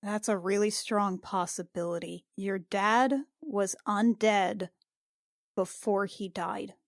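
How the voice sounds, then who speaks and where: A woman playing a tabletop fantasy game, improvising in character in a halting way and often repeating herself. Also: medium pitch, fairly even intonation, gravelly, dry recording, studio quality.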